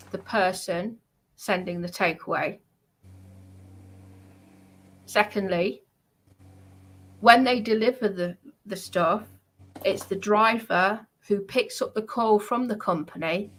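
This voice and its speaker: A woman speaks at a slow, deliberate pace with a mid-range pitch. She is confrontational and scolding, addressing online trolls off the cuff. Her delivery is halting, and she circles back to stress her points. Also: poor audio.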